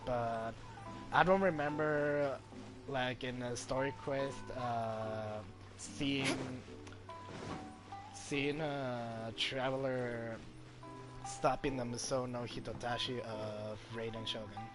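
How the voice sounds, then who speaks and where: A young man chatting casually on a game livestream in a halting, hesitant way. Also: mid-range voice, steady tone, rough recording. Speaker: game streamer. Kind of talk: casual livestream chat and commentary. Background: game music.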